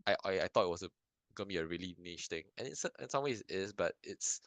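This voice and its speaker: A young man from Singapore talks through his answers with a deep, low voice. He hesitates, restarts sentences and fills gaps with "um". The sound is dry and close. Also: lively intonation, fast, a bit bright, no room sound. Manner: hesitant, many restarts and fillers, thoughtful. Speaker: filmmaker guest. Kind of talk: casual interview answers. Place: lives in Canada.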